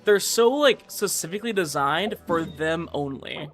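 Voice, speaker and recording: A man's voice: fairly high pitch, lively intonation, moderate pace, fairly bright tone, faint music behind. A man livestreaming a game, chatting casually and giving commentary in a slangy, informal way full of filler words. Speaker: livestreamer playing a gacha game.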